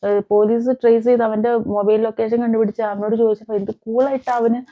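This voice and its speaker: A woman's voice: a little high, level tone, quick pace, narrow, tinny audio. A woman giving emphatic, opinionated commentary on crime as a vlog host, repeating phrases and posing rhetorical questions. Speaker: vlogger, host.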